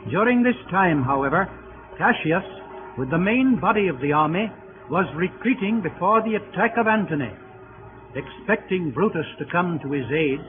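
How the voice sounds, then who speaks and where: A man narrating a 1930s radio drama in a formal, clipped storytelling manner. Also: sits high. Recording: tinny 1930s radio recording.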